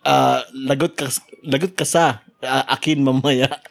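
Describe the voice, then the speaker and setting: A man's voice: medium pitch, steady tone, unhurried, gravelly. A man hosting a casual podcast chat, whose first language is Indonesian, reading items off a list and laughing often. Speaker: podcast host.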